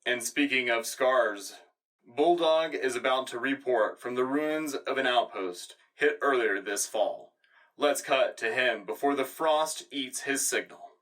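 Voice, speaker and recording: A man's voice: mid-range voice, unhurried, fairly bright tone, distant mic, close to an everyday voice, rough recording. A man playing a polished, grandiose propaganda radio news anchor in a science fiction war drama, reading scripted broadcast narration. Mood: welcoming, grandiose. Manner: polished, grandiose announcer delivery. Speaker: radio show host.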